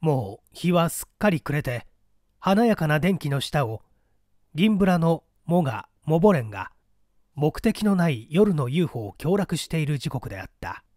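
A male narrator with a slightly high voice tells a mystery story with deliberate theatrical delivery. His intonation rises and falls in a lively way, and the sound is very dry, with no room around it. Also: dry recording. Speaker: audiobook narrator.

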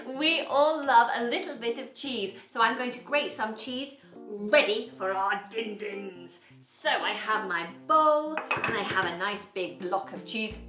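A woman's voice: on the high side, unhurried, hardly any pauses, music in the background. A young woman presenting a playful children's cooking show in a home kitchen, bubbly and sing-song. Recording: echoey kitchen.

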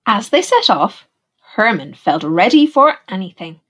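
Slow, very animated woman reading a storybook. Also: medium pitch, husky. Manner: animated, deliberate, puts questions to young listeners. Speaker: teacher reading a picture book aloud. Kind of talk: expressive storybook narration for children.

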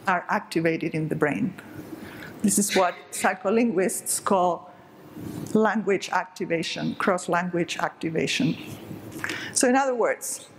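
A woman's voice: medium pitch, a little hiss or hum, low-quality recording. A middle-aged woman from southern Spain, giving an explanatory, emphatic stage talk to an audience. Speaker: speaker. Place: dark stage with curtain.

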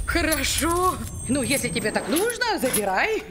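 Fussy cartoon seller: female, very high, lively, chattering, noisy clatter behind. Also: normal speed. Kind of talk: animated film acting. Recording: loud noise and clatter behind.

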